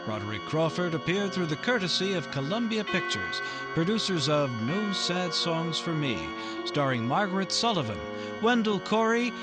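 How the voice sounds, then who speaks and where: A man playing an upbeat radio announcer of the 1950s, with a polished, emphatic pitchman's delivery. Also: big pitch swings, moderate pace, loud music behind the voice. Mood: brisk, formal.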